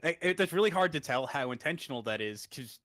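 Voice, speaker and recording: A man's voice: medium pitch, animated, fairly quick, a bit bright. A man co-hosting a podcast, agreeable and stammering as he restarts his sentences. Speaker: podcast co-host. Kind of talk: casual chat reviewing anime.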